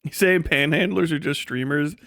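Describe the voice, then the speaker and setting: A man live streaming, rambling comedically and casually to his chat with lots of swearing, folksy slang and animated, exaggerated storytelling. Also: fairly high pitch, normal speed, dry recording. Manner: profane, folksy slangy phrasing.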